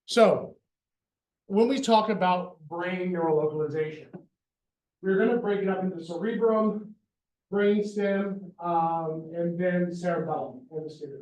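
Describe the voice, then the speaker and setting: A high-pitched man lectures with playful energy, tossing in jokes and quick checks with the class. His voice rings around a roomy hall and sounds set back from the mic. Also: distant mic. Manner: animated, joking, says "y'all" and "right?". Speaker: veterinary neurology lecturer. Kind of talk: university lecture. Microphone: handheld or lapel microphone.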